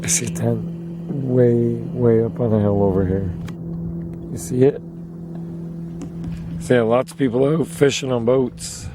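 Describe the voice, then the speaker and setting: A man with a hoarse, failing voice, chatting casually to viewers while paddling a canoe on a river. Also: medium pitch. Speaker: vlogger on a solo canoe trip. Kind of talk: casual talk to camera. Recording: outdoor noise of wind and water. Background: wind and water noise.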